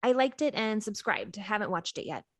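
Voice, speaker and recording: A woman's voice: mid-range voice, very animated, moderate pace, no echo. A woman playing an eager, uneasy young woman in a script table read, casual and apologetic. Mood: sheepish.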